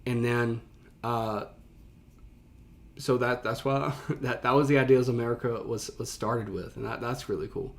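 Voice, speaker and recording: Man, medium-pitched voice, emphatic, conversational, teacher-like explaining, casual commentary. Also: fairly even intonation, vocal fry. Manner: emphatic, conversational, pauses to stress key words. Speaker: teacher reacting to a reading.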